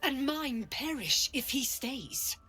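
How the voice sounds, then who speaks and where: A woman playing a stern, zealous druid leader in a video game, proud and menacing, with a solemn, deliberate delivery. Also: sits high, animated, pushed and bright, faint music behind, heavily acted. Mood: threatening. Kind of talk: dramatic video game acting.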